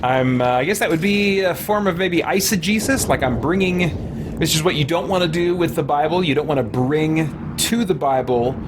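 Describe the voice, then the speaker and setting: A man's voice: fairly high pitch, moderate pace, noisy background. A man giving casual, rambling let's play commentary as a gaming streamer, musing aloud as he goes. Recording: game sounds behind the voice. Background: video game sound.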